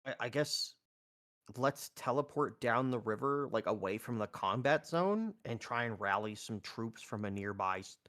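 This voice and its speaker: A man's voice: medium pitch, lively intonation, moderate pace, a bit bright. A man playing a tabletop roleplay game, talking casually and hesitantly, with some swearing. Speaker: player.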